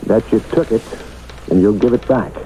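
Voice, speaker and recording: An actor in a radio drama playing a paternal but suspicious boss who speaks with measured, probing authority. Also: male voice, fairly low pitch, mellow, natural voice. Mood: accusing. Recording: muffled old radio broadcast, faint noise.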